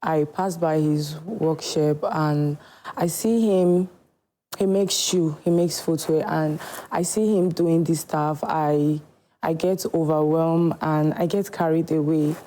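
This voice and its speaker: A woman from Nigeria, a shoemaker guest telling her story in a TV studio interview, earnest and unhurried. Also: deep voice, steady tone, mellow, rough recording.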